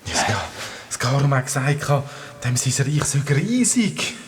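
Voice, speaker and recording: Casting: a hot-tempered, bossy boy in a children's radio drama. Swiss German, exclamatory, very lively intonation. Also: medium pitch, a little slow, background noise. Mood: uneasy, puzzled. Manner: blunt, exclamatory, repeats words for emphasis. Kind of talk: children's audio drama acting.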